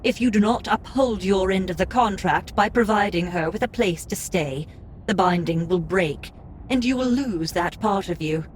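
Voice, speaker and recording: A woman voicing a cool, formal game system interface in an audiobook, announcing its notices in a measured way. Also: medium pitch, a little hiss or hum, lightly acted.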